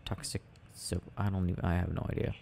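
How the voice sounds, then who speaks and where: Young male, mellow and creaky, soft ASMR gaming ramble, quiet musing delivery, slight background noise. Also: sits low, steady tone, quick pace. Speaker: audio roleplay creator. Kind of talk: soft casual rambling while gaming, ASMR sleep aid.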